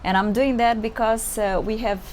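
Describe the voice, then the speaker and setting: A woman's voice: on the high side, fairly even intonation, normal speed, darkish tone, a little hiss or hum. Brazilian woman, hesitant non-native English. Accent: Brazilian Portuguese. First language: Portuguese. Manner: hesitant, searching for English words, many fillers. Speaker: yoga teacher. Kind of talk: unscripted yoga introduction to camera. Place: indoors at home, seated on a chair.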